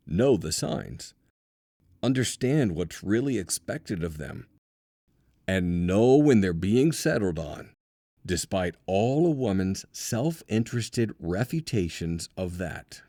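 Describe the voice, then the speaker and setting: Low, expressive male audiobook narrator. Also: no room sound, studio quality. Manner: deliberate, dramatic pauses and emphasis.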